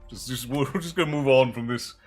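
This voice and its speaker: A man's voice: medium pitch, a little fast. A man hosting a livestream in casual, joking chat, greeting viewers by name, still a bit congested. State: congested, with a bit of a chesty cough. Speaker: livestream host. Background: soft background music.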